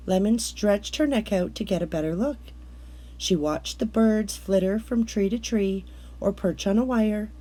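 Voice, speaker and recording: A woman reads a picture book aloud for children. Her voice is mellow and a little breathy-rough, sitting at a middle pitch. She tells the story in a measured, expressive way, stretching out words for effect. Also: poor audio. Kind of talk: scripted read-aloud narration of a picture book.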